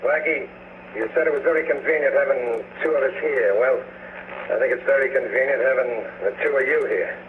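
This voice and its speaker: An actor playing a curt, defiant, menacing racketeer in a 1940s radio drama, on a noisy old broadcast. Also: male voice, mid-range voice, fairly quick, narrow, tinny audio, close to an everyday voice.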